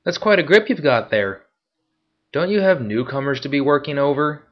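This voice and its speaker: A man's voice: fairly high pitch, very animated, moderate pace, voice pushed up. A man voicing a sly, playful young female pickpocket who talks coyly. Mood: teasing. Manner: playful and coy. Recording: dull lo-fi recording.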